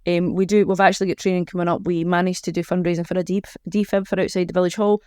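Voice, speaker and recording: Scottish woman with a clear, even voice. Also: on the low side, fast, no echo, clean studio sound. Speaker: charity organiser, podcast guest. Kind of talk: chatty interview answers.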